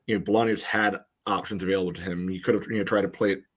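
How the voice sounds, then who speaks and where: A man with a fairly low voice breaks down soccer in a casual panel style. He explains carefully and loosely at once, with frequent "you know" fillers linking his phrases. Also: steady tone, very fast, breathy edge. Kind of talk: casual match analysis.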